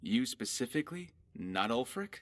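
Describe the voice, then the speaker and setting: A man's voice: mid-range voice, very animated, moderate pace, no echo, natural voice. A man voicing a sardonic but loyal pirate companion in a fantasy video game, with a dry delivery. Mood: wry, concerned. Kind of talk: scripted fantasy game dialogue.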